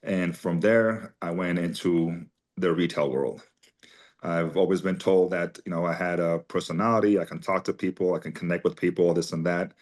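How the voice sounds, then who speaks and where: A man's voice: low-pitched, fairly even intonation, normal speed. A man in his forties from Armenia, speaking as a guest in an interview and telling long personal stories in a chatty, conversational way. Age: 40s. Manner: chatty anecdotal storyteller, many fillers. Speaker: guest, company CEO.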